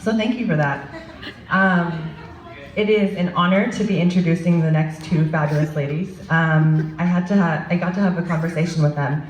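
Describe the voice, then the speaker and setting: A woman's voice: on the low side, fairly even intonation, moderate pace. Woman introducing speakers in an echoey hall. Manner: self-deprecating, hesitant public speaker. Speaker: event introducer. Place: event hall with an audience. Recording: echoey room, faint background noise.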